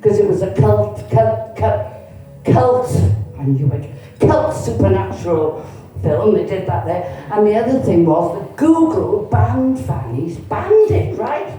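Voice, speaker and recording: A woman's voice: mid-range voice, big pitch swings, measured pace, mellow, faint music behind. A woman in her sixties from Cardiff with a Cardiff Welsh accent, performing a bawdy, chatty stand-up set and teasing the crowd. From Cardiff, Wales. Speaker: stand-up comedian. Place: comedy club stage. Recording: echoey venue, mic heard from a distance.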